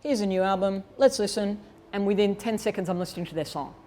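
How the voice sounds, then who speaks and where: A woman's voice: medium pitch, fast talker, warm tone, a little hiss or hum. A woman presenting a workshop in an informal, conversational way, explaining and thinking aloud as she demonstrates. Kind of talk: informal instructional talk to attendees.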